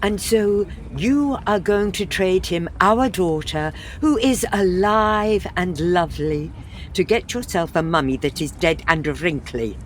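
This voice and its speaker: A woman's voice: fairly low pitch, a little slow, a little hiss or hum, lightly acted. A woman playing a haughty, scornful middle-aged wife and mother in a comic period audio play, full of reproach. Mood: scandalised. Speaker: actor in an audio farce.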